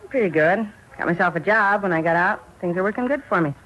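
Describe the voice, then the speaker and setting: Quick-talking, earnest ex-convict woman. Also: lowish voice, a bit bright. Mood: casual, friendly. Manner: earnest, colloquial, plain-spoken. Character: grateful, plain-spoken female ex-convict going straight. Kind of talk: 1950s radio drama acting, conversational. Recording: muffled, faintly noisy vintage radio recording.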